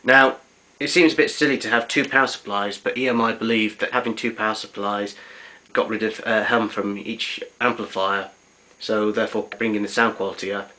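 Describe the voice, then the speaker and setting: A man's voice: lowish voice, steady tone, fairly quick, bright, forceful tone. A man giving an unscripted, matter-of-fact hands-on walkthrough of equipment, explaining it as a collector would. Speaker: vintage tape recorder collector giving a demonstration. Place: indoors in a domestic kitchen. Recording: noisy amateur camera sound.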